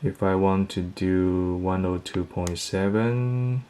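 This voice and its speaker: A man's voice: sits low, slow, dark tone, a little hiss or hum. A man giving a casual product review, explaining things step by step in a hesitant way.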